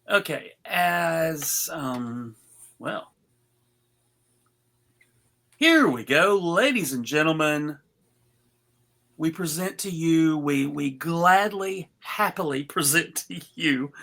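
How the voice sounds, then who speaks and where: Slow, gravelly male host. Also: a little high, fairly bright tone. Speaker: host and emcee of a live table read. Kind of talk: casual live introductions.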